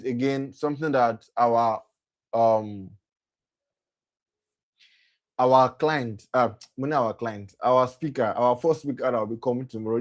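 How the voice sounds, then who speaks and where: A young man hosting a motivational session for young people. He often repeats himself, corrects himself as he goes, and keeps checking in with "right?". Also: medium pitch. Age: young adult. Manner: repetitive, self-correcting, often asks "right?". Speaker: program host and speaker.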